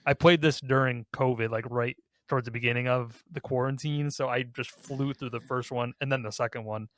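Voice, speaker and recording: A man's voice: mid-range voice, fairly even intonation, a little fast, fairly bright tone, dry recording. A man co-hosting a podcast, chatting casually about video games in an informal, self-deprecating way. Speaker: podcast host.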